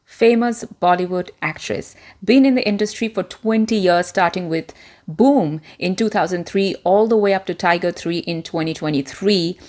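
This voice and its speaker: Woman from india w/ an american-tinged accent, former media presenter, steady clear delivery. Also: medium pitch. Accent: transitional, American-influenced. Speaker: host, self-help presenter. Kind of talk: scripted talk to camera.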